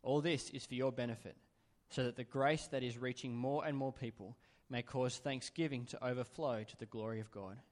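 A man reading a Bible passage aloud in a church, steady and even-paced. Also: medium pitch, normal speed, few pauses. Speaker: scripture reader. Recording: long hall reverb in church.

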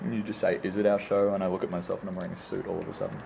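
A woman's voice: extremely low pitch, little pitch movement, very fast, gravelly, noisy background. A woman co-hosting a casual podcast, playful and giggly, riffing on silly jokes. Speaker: podcast co-host. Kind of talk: casual chat.

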